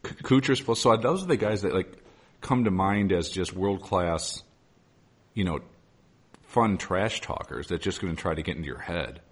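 A laid-back man hosting a casual conversation, filling his talk with fillers and the odd swear word. His voice is very deep and husky. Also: lively intonation, moderate pace. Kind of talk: casual podcast chat.

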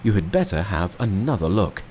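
A man's voice: low voice, sing-song, brisk, a little mellow, a little hiss or hum, poor audio. A man voicing a timid, fretful old monk who worries and complains. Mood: doubting. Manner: plaintive, worried.

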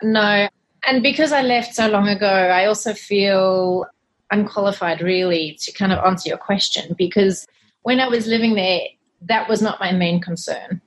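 A woman's voice: medium pitch, normal speed. A middle-aged woman with a South African accent, chatting earnestly and a little hesitantly as a podcast guest. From Zimbabwe and South Africa. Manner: earnest, hesitant, self-deprecating, laughs softly. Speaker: cleaning company cofounder, guest. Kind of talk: casual interview chat.

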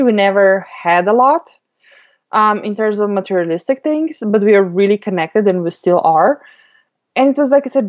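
Lowish-voiced woman, slightly dull recording. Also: a little mellow. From Slovenia. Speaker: podcast guest, business coach. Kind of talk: conversational interview answers.

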